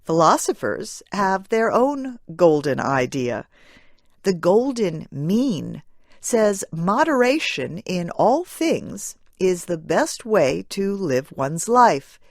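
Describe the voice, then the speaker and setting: A woman narrating a scripted English lesson in a measured, clearly phrased way for learners. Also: medium pitch, dry recording, studio quality.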